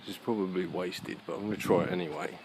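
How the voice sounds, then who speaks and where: A man's voice: low-pitched, quick pace. A man chatting casually and ramblingly to camera, with informal muttered asides, as he walks outdoors. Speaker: vlogger. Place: outdoors in a park at night, walking. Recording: noisy outdoor handheld camera recording.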